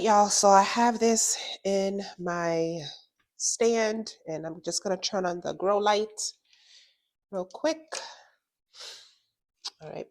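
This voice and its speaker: Stuffy-nosed woman, slow and chatty. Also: mid-range voice. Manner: chatty, says "y'all" often. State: stuffy nose from a cold. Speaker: gardening vlogger. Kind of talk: casual how-to vlog.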